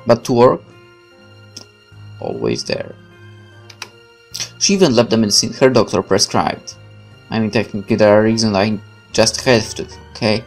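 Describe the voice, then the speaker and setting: A man's voice: on the low side, sing-song, moderate pace, vocal fry, long pauses. A man hosting a let's play, switching between casual commentary and reading the game's narration aloud, with game music behind him. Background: video game music.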